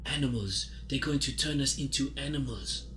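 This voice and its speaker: A man's voice: medium pitch, moderate pace, a bit bright, a little hiss or hum, lightly acted. A man playing a desperate widowed woman who gives urgent, melodramatic, repetitive pleas in an improvised comic radio play. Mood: urgent warning. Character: desperate widowed woman Yvette, frantically warning of experiments.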